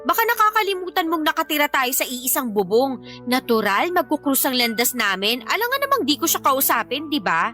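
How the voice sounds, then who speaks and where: A woman's voice: high voice, sing-song, fairly quick, pushed and bright, faint music behind, full character voice. An actress playing an irritated, defiant young mother in a radio drama, sarcastic and scolding, with a Filipino accent. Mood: defensive, annoyed.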